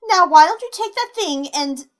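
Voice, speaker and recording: A woman's voice: extremely high pitch, animated, a little slow. A woman voicing a brash, flirtatious female monster in a fan audio drama, with a provocative, sassy drawl.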